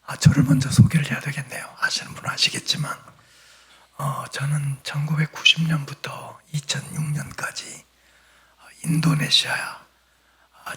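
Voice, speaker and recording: A male missionary preacher who has lost his voice to a throat cold, giving an earnest sermon in a hoarse whisper and clearing his throat now and then. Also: on the high side, sharp tone. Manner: apologetic, earnest, plain storytelling.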